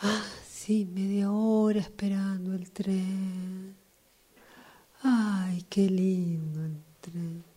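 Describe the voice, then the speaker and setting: A woman's voice: medium pitch, steady tone, very slow, dark tone, slightly rough. A woman acting a dreamy, wistful train passenger in a radio drama, theatrically echoing her own phrases. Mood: dreamy, nostalgic.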